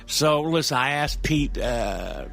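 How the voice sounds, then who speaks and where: Male actor as hesitant everyman narrator, audio drama monologue, halting, stammering, folksy, earnest, music underneath. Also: mid-range voice, animated, creaky, fairly bright tone, heavily acted. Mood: bemused. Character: hesitant, earnest everyman recounting a creepy cat hunt.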